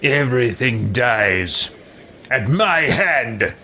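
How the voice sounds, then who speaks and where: Man putting on a slow, forceful, imperious hero. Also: medium pitch, sing-song, slight background noise, exaggerated voice. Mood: grim. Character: slow, imperious, menacing adventurer, player's roleplay hero. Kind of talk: improvised video game roleplay.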